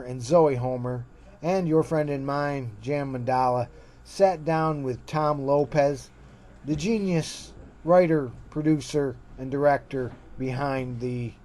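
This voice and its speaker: A man delivers a spoken introduction as a host. His pitch is average and his delivery is measured and appreciative, setting up a recorded interview for listeners. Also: a little mellow.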